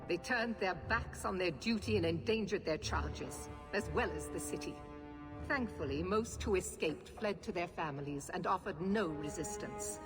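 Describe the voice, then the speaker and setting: An actress playing a stern, zealous knight commander in a video game, formal and imperious. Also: female voice, lowish voice, moderate pace, natural voice. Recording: long hall reverb, music behind.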